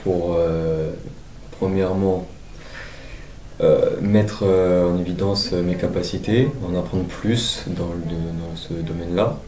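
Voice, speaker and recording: A nineteen-year-old man, a civic service volunteer, speaking haltingly with many fillers. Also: low-pitched, fairly even intonation, darkish tone. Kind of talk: personal testimonial. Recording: roomy distant recording, faint noise.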